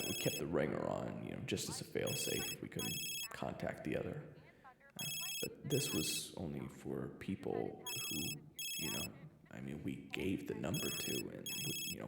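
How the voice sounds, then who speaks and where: An actor playing a weary, remorseful assassin and young father in an audio roleplay, gravelly and halting as he talks and narrates. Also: heavy background noise, exaggerated voice. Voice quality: very deep, gravelly. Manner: halting, hesitant, conversational narration. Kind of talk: first-person audio roleplay drama. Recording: echoey, long reverb.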